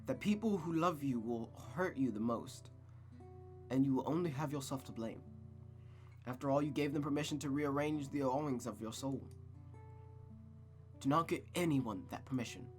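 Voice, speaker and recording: A man's voice: medium pitch, moderate pace, long pauses, soft background music, natural voice. A man voicing a controlling, bitter mother in a visual novel, cold, commanding and lecturing. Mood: cold, brooding. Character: controlling, bitter, menacing mother, adult woman.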